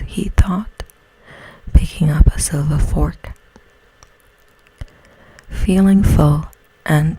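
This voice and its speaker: A woman reads a bedtime story in ASMR style. Her voice is a very breathy whisper, soft-toned and low. She narrates slowly, as a storyteller. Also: poor audio.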